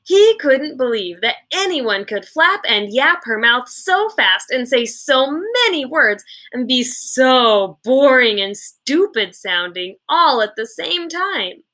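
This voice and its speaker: Bright, high-voiced woman reading aloud. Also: big pitch swings, slow, few pauses. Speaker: teacher reading a children's book aloud. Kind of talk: expressive read-aloud storytelling.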